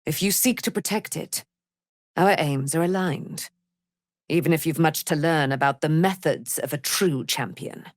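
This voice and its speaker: A woman's voice: low voice, a little slow, no room sound, clean studio sound. A woman playing a proud immortal paladin, a moon goddess's warrior daughter, in a fantasy video game, speaking in grand, archaic, theatrical declamation. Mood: noble, earnest.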